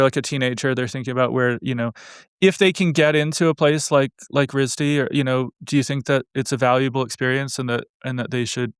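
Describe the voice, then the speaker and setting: A man's voice: medium pitch, a bit bright, clean tone, no echo, studio quality. A man hosting an interview, asking long, meandering questions full of hedges and fillers. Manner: rambling, hedging questions full of you know and like. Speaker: interviewer, show host. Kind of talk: casual interview conversation.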